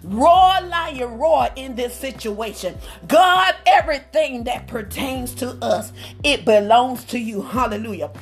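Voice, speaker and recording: A woman's voice: a little high, expressive, unhurried, soft background music. A woman preacher leading a phone prayer line in a fervent charismatic style, exclaiming praise and interjecting often. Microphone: phone call on a prayer line.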